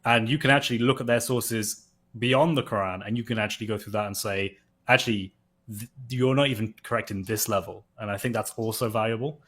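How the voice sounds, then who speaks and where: A man's voice: lowish voice, steady tone, brisk, fairly bright tone. A man who is a native English speaker, chatting casually in a discussion and thinking aloud with loose fillers.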